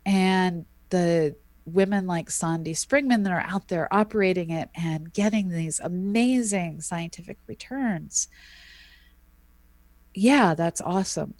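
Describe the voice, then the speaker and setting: A woman co-hosting an astronomy podcast, explaining at length in a measured, thoughtful way with frequent ums. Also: fairly low pitch, a little mellow, no room sound. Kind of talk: casual explanatory chat.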